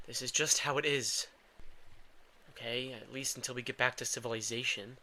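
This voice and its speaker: A man's voice: medium pitch, fairly even intonation, normal speed, pushed and bright. A man playing a guilt-ridden, protective male vampire in an intimate audio drama, with dry sarcasm and a weary, casual delivery. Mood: wry, weary.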